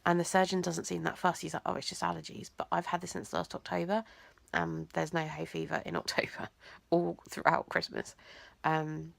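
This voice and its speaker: Croaky, nasal young woman. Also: fairly low pitch, little pitch movement, normal speed, no echo. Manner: rambling, hesitant, many ums and likes. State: congested, teary. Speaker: vlogger. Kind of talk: personal vlog monologue.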